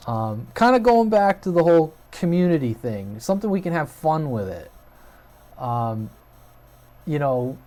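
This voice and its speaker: A man's voice: mid-range voice, warm tone. A man hosting a hobby video channel, talking informally and off the cuff to viewers, rambling a little as he thinks aloud. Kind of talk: casual, unscripted channel update to viewers. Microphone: USB webcam at a desk.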